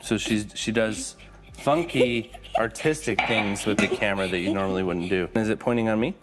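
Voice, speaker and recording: A man's voice: on the low side, moderate pace, over loud music. A man co-hosting a casual home kitchen vlog, talking in a chatty, rambling way with playful asides. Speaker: co-host.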